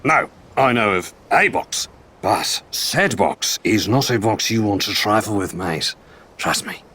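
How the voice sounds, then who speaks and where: An actor playing a sly, evasive pirate captain in a video game, with a mannered, wordy delivery. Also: male voice, fairly low pitch, expressive, unhurried, bright, forceful tone, breathy edge, exaggerated voice. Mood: wary, evasive. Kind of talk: video game cutscene acting.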